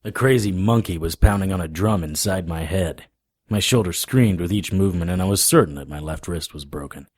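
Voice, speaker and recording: A weary supernatural investigator in a noir-like audio drama. His voice is low and husky, with dry, wry delivery and a very close, dry sound. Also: brisk, dry recording. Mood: wry, pained. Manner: dry, wry first-person narration.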